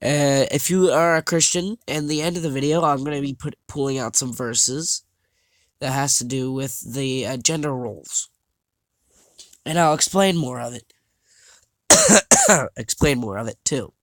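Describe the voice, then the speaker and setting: A young man vlogging over slides, talking haltingly with many fillers and restarts, his voice a little scratchy and his nose stuffed up. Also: medium pitch, very animated, no room sound. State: congested, slightly scratchy voice. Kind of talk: casual talk over slides.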